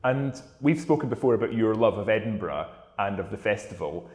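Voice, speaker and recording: Male interviewer, brief questions, low voice, roomy echo. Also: animated, brisk, darkish tone. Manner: brief, attentive, encouraging backchannels. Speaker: interviewer, festival head of music.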